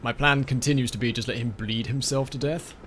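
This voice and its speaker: A man's voice: mid-range voice, steady tone, fairly quick. Man, tired, losing his voice, muttering, wry, game streamer, noisy recording. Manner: muttering, self-deprecating, talking to himself and chat. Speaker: let's play streamer. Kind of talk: casual gameplay commentary.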